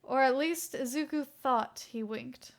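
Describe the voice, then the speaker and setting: A woman's voice: high voice, a little slow. A woman reading a scripted story aloud as a fan fiction narrator.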